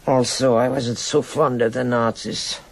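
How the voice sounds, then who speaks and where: A woman's voice: a bass voice, fairly even intonation, unhurried, gravelly, exaggerated voice. An actress playing a worldly, nostalgic old Berlin woman in a radio drama, theatrical and frank as she reminisces. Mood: grave, reminiscing. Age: older. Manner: theatrical, rambling reminiscence with sighs and interjections.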